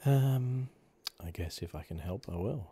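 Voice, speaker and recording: A man softly giving relaxed ASMR commentary as he plays a video game, musing as he goes. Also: on the low side, unhurried. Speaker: gaming video host. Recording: clearly noisy, game ambience behind. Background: video game sound.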